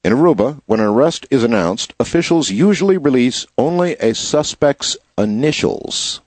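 A slightly hoarse man hosting a show, reading news headlines in a casual style and adding wry asides. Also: medium pitch, expressive, moderate pace, a little mellow, no echo. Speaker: show host.

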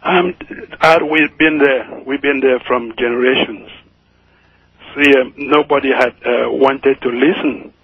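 Nigerian guy calling in, slow careful talk, husky, dull phone sound. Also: medium pitch, steady tone, dry recording. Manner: speaks slowly and carefully, with many fillers. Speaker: caller. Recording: muffled phone line.

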